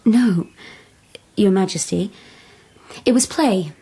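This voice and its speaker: A woman's voice: medium pitch, slow, dark tone, husky, heavily acted. A woman playing a naive, eager young wild girl in a radio drama, with a direct, careful way of talking. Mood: earnest.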